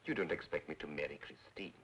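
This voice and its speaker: An actor playing a smooth, wary fraudulent spiritualist in a 1940s film noir, speaking in a polite, measured way. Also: male voice, extremely low pitch, fairly bright tone, slight background noise. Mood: uneasy, resigned. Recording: old film soundtrack with music. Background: prominent background music.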